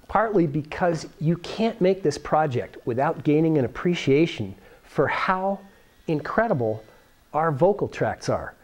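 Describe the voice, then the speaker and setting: A man's voice: a little high, lively intonation, measured pace, a little hiss or hum. A middle-aged American man presenting a science how-to demonstration, explaining step by step on a lapel microphone. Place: school workshop or lab room, indoors.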